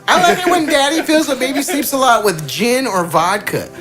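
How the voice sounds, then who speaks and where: A woman's voice: high voice, lively intonation, moderate pace. A woman playing a drunken, raunchy toy princess in an improvised comedy audio drama, brash and crude. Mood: teasing. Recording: music behind.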